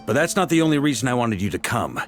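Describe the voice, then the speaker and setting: An actor playing a composed, scholarly young male knight in a game, calmly explaining things. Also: medium pitch, quick pace, lightly acted. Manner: calm, articulate explainer. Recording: clear game music behind.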